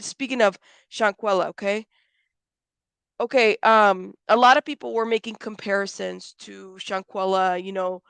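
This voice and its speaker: Woman streamer, stuffed up, slight hoarseness, smooth voice, dry close sound, frank, talks to chat. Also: medium pitch, a bit bright, no echo. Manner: blunt, frank, calls people out, swears; chatty, greets viewers by name, many fillers. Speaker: YouTube commentary host. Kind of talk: live-stream commentary reading chat.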